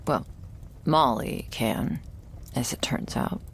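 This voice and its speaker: A heavily creaky, gravelly voice from a woman acting a wry, guarded young woman in a vampire ASMR roleplay. She speaks slowly and drily, musing with sardonic hesitation and often sinking toward a breathy whisper. There is faint noise behind her. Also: extremely low pitch, full character voice.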